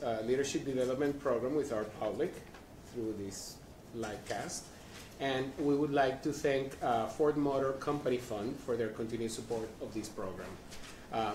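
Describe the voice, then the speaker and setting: A man's voice: mid-range voice, a little mellow. A man hosting a live panel discussion at a museum, introducing guests in a chatty, rambling way with many restarts. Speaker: panel moderator. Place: event room at a museum. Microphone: live stream. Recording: roomy sound from a distance with faint noise.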